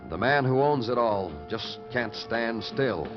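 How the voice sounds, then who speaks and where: An actor playing a hardened New York homicide detective, narrating in terse, poetic fragments in a 1950s American radio drama style. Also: male voice, medium pitch, animated, measured pace, natural voice. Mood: grim, weary. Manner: terse, clipped fragments, poetic and world-weary. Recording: muffled old radio recording with music.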